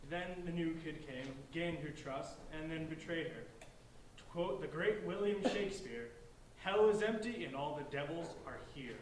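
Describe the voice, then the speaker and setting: A man's voice: on the high side, normal speed, lightly acted. A man playing a polite, bookish fifteen-year-old outsider with an earnest, wordy manner in a staged play reading. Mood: earnest, indignant. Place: large echoey indoor room, distant microphone. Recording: echoey room from far off, faint noise.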